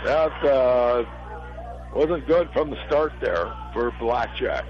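A middle-aged American man with a hoarse, failing voice gives folksy live play-by-play commentary on a local volleyball game in short phrases. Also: mid-range voice, expressive, slow pace, over music. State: hoarse, losing his voice from allergies. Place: high school gym. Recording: dull lo-fi radio broadcast sound.

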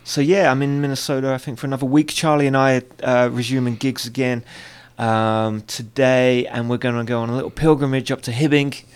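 A man's voice: mid-range voice, flat delivery, fairly quick, smooth. A man from near London with an English accent, chatting easily as a guest singer-songwriter in a radio studio interview. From England, near London. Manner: easygoing, agreeable, many yeahs.

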